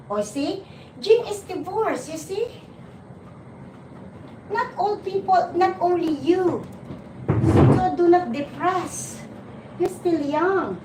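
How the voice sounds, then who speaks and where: A woman's voice: very high voice, mellow, slight background noise. A woman in her forties from the Philippines, with a Filipino accent, hosting a livestream. She chats and teases her viewers, laughs often, and restarts her sentences. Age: 40s. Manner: chatty and teasing, laughs often, halting restarts. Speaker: livestream host. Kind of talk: casual chat with viewers. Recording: roomy, distant mic, noticeable background ambience.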